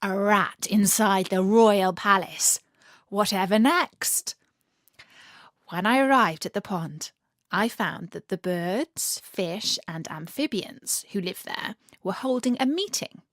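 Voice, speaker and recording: A woman narrating a scripted children's story as storyteller and host, with an animated, theatrical and deliberate delivery. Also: mid-range voice, no echo, studio quality.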